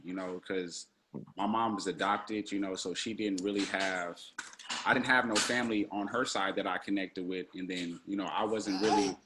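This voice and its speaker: A man in his thirties, a guest on an interview show, answering haltingly with many "you know"s. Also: sits low, fairly bright tone, a little hiss or hum. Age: 30s.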